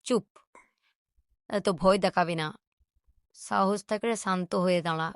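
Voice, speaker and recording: A woman's voice: mid-range voice, fairly even intonation, a little slow, clean tone, dry recording, studio quality, natural voice. A woman voicing a bold, skeptical village boy in a cartoon, with a defiant, cocky manner.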